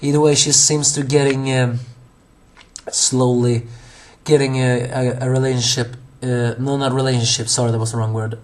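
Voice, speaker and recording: A hoarse young man reviewing an anime episode on his own, rambling with many fillers, restarts and self-corrections. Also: mid-range voice, flat delivery, moderate pace.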